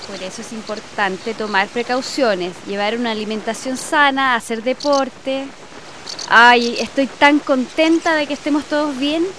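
A Chilean mother in a radio drama, caring and quick to give gentle advice. Her voice is high, over faint outdoor ambience. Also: fairly even intonation, slight background noise. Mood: contented.

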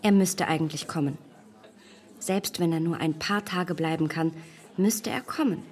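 A woman's voice: deep voice, measured pace. A witty, teasing young lady. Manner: bright, teasing. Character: lively witty young lady, self-assured.

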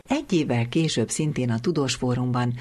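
A woman's voice: a bass voice, a little mellow. A woman hosting a radio programme, reading scripted narration. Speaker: radio host. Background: music behind the voice.

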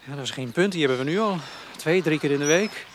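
Guy playing a conflicted young farm lad, high voice, dutch netherlands accent, earnest radio drama, faint noise behind. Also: lively intonation, fast, lightly acted. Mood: hesitant, troubled. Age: 20s. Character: troubled, conflicted young farmer's son. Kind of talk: naturalistic radio drama dialogue.

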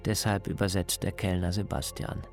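A man's voice: sits low, level tone, moderate pace, vocal fry, faint music behind. A man speaking as a reporter, reading scripted narration for a radio feature.

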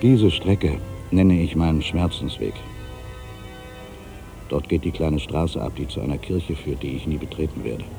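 A man playing an ageing, solitary writer who broods on his past in a deliberate, reflective literary monologue. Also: extremely low pitch, vocal fry, music in the background, heavily acted. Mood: wistful. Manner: brooding, deliberate, with pensive pauses. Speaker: monologue performer. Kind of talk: literary dramatic monologue, reflective first-person narration.

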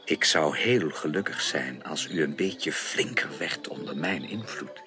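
An actor playing an earnest, caring and direct gentleman suitor in a radio drama, speaking formally and emphatically with probing, insistent questions. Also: very deep voice, lively intonation, unhurried, over music. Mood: warm, insistent. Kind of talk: 1980s Dutch radio drama acting.

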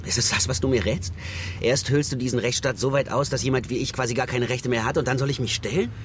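Sardonic fugitive man played by a male actor, middle-aged, mid-pitched, colloquial and digressive, radio crime drama with faint background noise. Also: fast, close to an everyday voice. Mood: bitter, pleading. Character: sarcastic, rambling fugitive man, prosecutor's resentful son. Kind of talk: first-person narration and dialogue in German radio crime drama.

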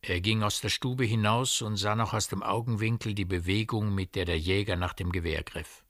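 A man narrating a literary radio drama in a precise, measured and dramatic storytelling manner. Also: on the low side, fairly even intonation, a bit bright, no echo, clean studio sound.

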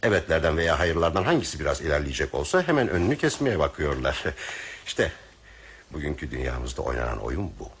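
A man's voice: sing-song, a little fast, a bit bright, slight background noise, exaggerated voice. A man acting in a Turkish radio play as a cynical, philosophizing train passenger, wry and formally spoken. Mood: philosophical, bitter. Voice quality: deep, husky. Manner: theatrical, musing, ironic, formally worded.

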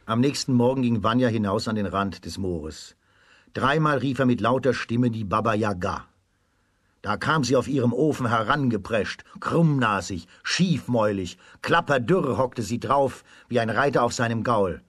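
A man with a husky voice narrating a children's radio fairy tale in a dramatic storytelling manner. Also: medium pitch, expressive, moderate pace, dry recording, clean studio sound.

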